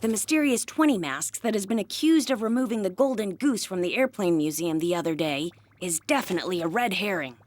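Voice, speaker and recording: A woman's voice: mid-range voice, expressive, brisk, few pauses, a little mellow, natural voice. A woman voicing a composed, methodical young investigator in an anime dub, polite and self-assured.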